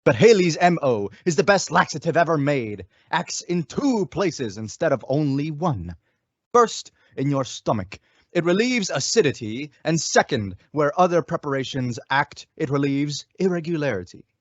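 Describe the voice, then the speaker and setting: A man's voice: fairly high pitch, big pitch swings, normal speed, no echo. A man reading a scripted old-time radio commercial as an announcer, with an emphatic sales delivery. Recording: dull lo-fi radio recording.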